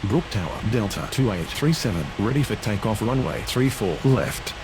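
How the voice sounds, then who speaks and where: A man's voice: lowish voice, very animated, normal speed, over music. A man voicing a formal airport radio operator in an aviation game, with clipped, scripted call-outs. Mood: formal, brisk. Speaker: air traffic radio voices in a game. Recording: very noisy, roaring engine-like background.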